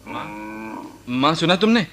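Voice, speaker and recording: Aggrieved husband, bright forceful voice, whining indignation, radio play. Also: male voice, on the high side, animated, measured pace. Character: peevish, complaining shopkeeper husband, mother's boy. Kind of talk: Hindi radio drama acting.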